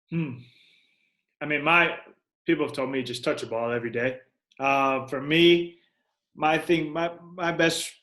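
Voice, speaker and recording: A young man with an American accent talks as a guest in a casual interview. His delivery is conversational and loosely rambling, and he slips quoted remarks into his stories. His pitch sits in an ordinary middle range for a man. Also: fairly bright tone. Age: young adult. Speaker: professional soccer player, podcast guest.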